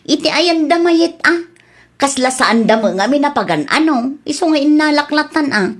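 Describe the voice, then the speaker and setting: A sly, cheeky radio-comedy wife played by a woman with a very high voice. She wheedles playfully, a petty thief at heart. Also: animated, normal speed. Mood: gleeful. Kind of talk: Ilocano radio comedy drama acting.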